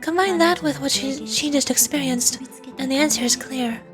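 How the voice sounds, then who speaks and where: Woman playing a composed, shrewd, high-voiced leader. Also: over music, natural voice. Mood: serious, wary. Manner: analytical, deliberate, polite. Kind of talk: live read-aloud of video game dialogue.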